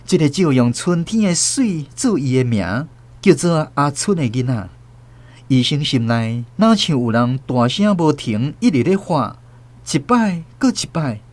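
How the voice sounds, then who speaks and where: A man from Taiwan narrating a radio drama in a calm, measured storytelling voice. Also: fairly high pitch. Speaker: host and narrator. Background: soft violin music.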